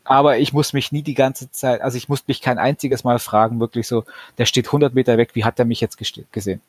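A man co-hosting a casual podcast chat, enthusiastic and quick to swear, often restarting his sentences. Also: medium pitch, flat delivery, husky, no echo. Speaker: podcast co-host.

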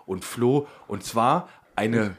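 A man's voice: medium pitch, slow pace, breathy edge. A man telling anecdotes in a casual chat as a co-host.

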